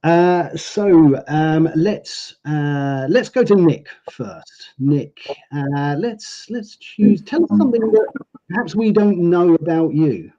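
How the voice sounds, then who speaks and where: A man's voice: on the high side, animated, slow pace, warm tone. Upbeat male host on a lo-fi call. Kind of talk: live online show chat. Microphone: video call.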